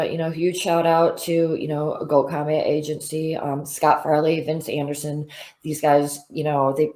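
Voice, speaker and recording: A woman's voice: deep voice, a little mellow. A woman in her thirties, a fighter, talking frankly in a casual interview, swearing freely and full of fillers. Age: 30s. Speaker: bare knuckle fighter.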